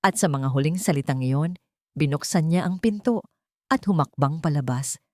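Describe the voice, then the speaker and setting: A woman's voice: low voice, normal speed, a little mellow, no room sound, clean studio sound. A woman with a Filipino accent giving a scripted, dramatic narration of a story.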